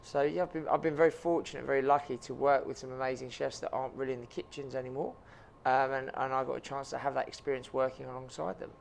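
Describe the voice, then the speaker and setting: A man's voice: mid-range voice, steady tone, fast talker, creaky, few pauses, a little hiss or hum. A man, a chef and restaurant owner answering interview questions earnestly, with frequent ums and repeated words.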